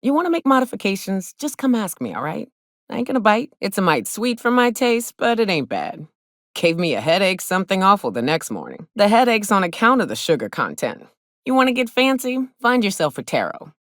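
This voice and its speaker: An actress playing a hard-drinking frontier hunter and guide in a video game, blunt and wry, with folksy speech. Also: female voice, mid-range voice, sing-song, a little fast, fairly bright tone, dry recording, clean studio sound. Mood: wry, easygoing. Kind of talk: video game companion dialogue acting.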